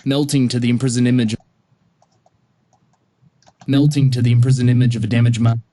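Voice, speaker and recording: A man's voice: medium pitch, level tone, brisk, mellow. A man delivering rhythmic spoken verse, processed through a vocoder effect with shifted formants. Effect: vocoder with formant shifting and distortion. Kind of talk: rapid rhythmic spoken verse vocal sample. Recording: noisy, processed vocal sample.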